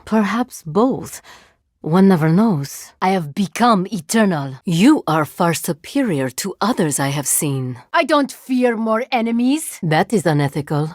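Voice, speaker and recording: A lowish voiced cyborg lady from a video game, precise n formal, curious but menacing, dry close recording. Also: darkish tone, no room sound. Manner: formal, precise, measured phrasing. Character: transformed cyborg woman, curious, literal-minded, coolly menacing. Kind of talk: video game character voice lines.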